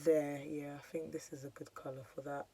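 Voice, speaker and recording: A young woman with a hoarse, croaky voice, chatting casually through a makeup tutorial with lots of fillers. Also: low-pitched, little pitch movement, brisk, warm tone, a little hiss or hum. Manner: chatty, filler-heavy, self-deprecating. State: hoarse, losing her voice. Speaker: beauty vlogger.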